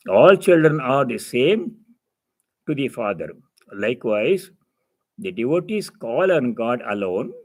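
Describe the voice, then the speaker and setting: A man with a husky voice giving a spiritual teacher's reading and commentary, in halting phrases with repetition and explanatory asides. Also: medium pitch, a little mellow.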